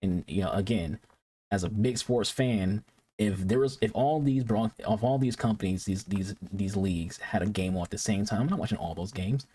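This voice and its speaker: A man's voice: fairly low pitch, normal speed, warm tone, breathy edge. A man from Detroit hosting a reaction video, talking casually and rambling with frequent restarts and repeated words. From Detroit, United States.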